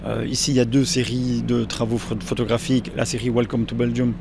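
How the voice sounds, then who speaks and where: A man gives an expert's explanation in an interview, often pausing to find words. His mid-pitched voice is markedly creaky, with clear noise behind him. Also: little pitch movement. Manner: hesitant, searching for words. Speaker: art expert being interviewed. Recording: clear background noise on location.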